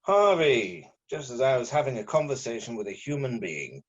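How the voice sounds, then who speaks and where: A weary, sarcastic newsroom hack played by a man, always exasperated. The voice is husky and mid-pitched, and the recording sounds slightly muffled. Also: big pitch swings, normal speed. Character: weary, bitterly sarcastic male journalist. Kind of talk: amateur script table read.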